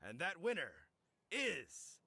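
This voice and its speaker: Bright, forceful, ceremonious contest judge. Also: male voice, sits high, huge pitch swings, gravelly, a little hiss or hum, exaggerated voice. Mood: grandly solemn. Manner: ceremonious, deliberate, dramatic. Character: hearty male hunter judging a cooking contest, solemnly dramatic. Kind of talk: English video game voice acting. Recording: soft background music.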